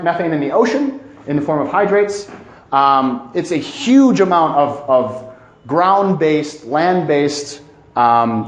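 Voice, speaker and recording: A man presenting an informal educational talk, speaking emphatically and haltingly, often repeating key phrases for weight. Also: a little high. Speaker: presenter giving a climate talk. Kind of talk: informal educational lecture to a live audience. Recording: echoey room.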